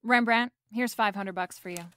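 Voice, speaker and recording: A woman's voice: medium pitch, normal speed, bright, forceful tone, natural voice. A woman playing a breezy, wisecracking female private detective in a noir audio drama, narrating and bantering. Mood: brisk. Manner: wisecracking, coolly playful.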